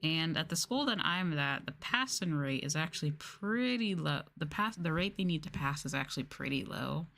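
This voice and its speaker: A young woman with a low voice talks softly in a casual ASMR stream. She chats candidly, with the odd swear word slipped in. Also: lively intonation, moderate pace, vocal fry, hardly any pauses. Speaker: VTuber streamer. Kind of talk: soft-spoken ASMR casual chat.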